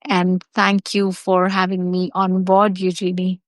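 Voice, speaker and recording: A woman homeopath calmly describing cases from her practice in a measured, professional way. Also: lowish voice, no room sound, studio quality.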